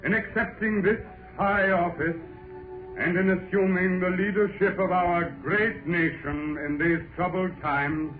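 Declamatory, highish-voiced orator, old radio. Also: male voice, steady tone, unhurried. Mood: solemn, pompous. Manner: theatrical, solemn, declamatory. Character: imagined grown son as grand orator and professional man. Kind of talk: 1930s radio drama acting, declamatory. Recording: tinny 1930s radio broadcast with music.

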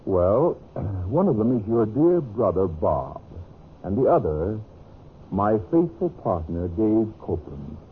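An American actor playing a sly, scheming, sardonic husband in a 1950s radio drama, with a smooth, insinuating delivery. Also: male voice, lowish voice, big pitch swings, slow, narrow, tinny audio. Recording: noisy, band-limited old radio recording.

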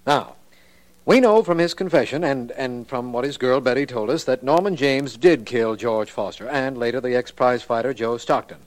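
An actor playing an earnest, curious district attorney in a 1940s radio drama, speaking with emphatic, questioning delivery. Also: male voice, medium pitch, normal speed, lightly acted, poor audio. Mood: patient but curious.

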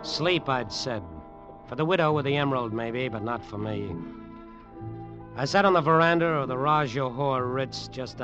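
A man's voice: medium pitch, natural voice. Actor as a hard-boiled, clipped American tough guy. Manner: clipped, sardonic. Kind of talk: 1940s-50s radio drama acting, noir narration. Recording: dull old radio recording with music.

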